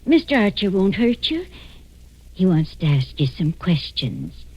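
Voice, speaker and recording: An actress playing an anxious mother who pleads haltingly to protect her fugitive grown son, in 1940s radio drama style. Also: lowish voice, sing-song, slightly rough, no echo, exaggerated voice. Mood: protective, earnest. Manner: halting, distressed, earnest pleading. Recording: dull lo-fi vintage radio recording.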